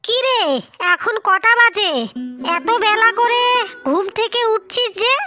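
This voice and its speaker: Woman playing a high-voiced, scornful cartoon stepmother. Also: slow, bright, forceful tone, soft background music, full character voice. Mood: scornful scolding. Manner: sneering, scolding. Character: scornful, bullying stepmother who dotes on her son. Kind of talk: cartoon fairy-tale acting.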